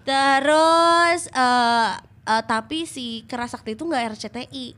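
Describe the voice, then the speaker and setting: A woman's voice: high-pitched, unhurried, fairly bright tone. A young woman chats casually as a podcast co-host, throwing in playful, jokey interjections. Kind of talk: casual chat, joking with friends.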